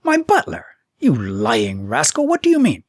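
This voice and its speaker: A man's voice: mid-range voice, wildly swooping pitch, moderate pace, no room sound, clean studio sound. A man voicing a short-tempered, pompous fairytale king in a storybook, with an imperious, bawling manner. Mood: outraged. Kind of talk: storybook character acting.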